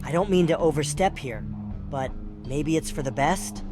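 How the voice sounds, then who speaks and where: A man's voice: fairly high pitch, moderate pace, lightly acted. A man playing a loyal, chipper young delivery worker in a visual novel game, with an eager, deferential manner. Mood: apologetic. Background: loud music.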